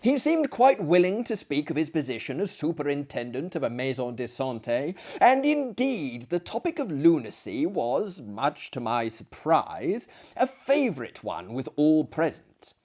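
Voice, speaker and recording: A man reading a literary story aloud as a theatrical storyteller, deliberate and with frequent hesitations. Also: on the high side, wildly swooping pitch, a little mellow. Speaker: storyteller and performer.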